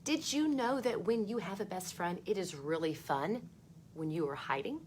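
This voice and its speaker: A woman reading a picture book aloud for children, expressive, with chatty asides spoken straight to young listeners. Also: lowish voice, moderate pace, slight background noise.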